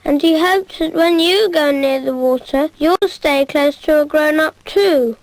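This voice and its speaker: A woman's voice: high-pitched, low-quality recording. A woman voicing an earnest little boy who tells simple cautionary tales in a cartoon safety film. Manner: slow, simple, childlike storytelling.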